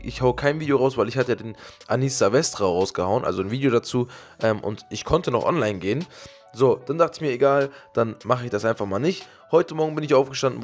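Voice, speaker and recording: A young man with a cold-roughened, lowered voice chatting casually to his viewers over gameplay, with frequent fillers. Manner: fast, chatty, many fillers. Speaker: gaming YouTuber.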